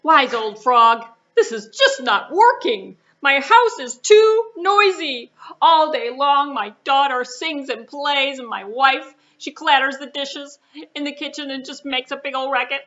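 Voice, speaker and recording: A woman voicing an exasperated male farmer in a children's storybook tale, whining and pleading in drawn-out, flustered complaints. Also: high-pitched, lively intonation, bright, forceful tone, lo-fi sound, voice pushed up, full character voice. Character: exasperated, whiny male farmer desperate for quiet.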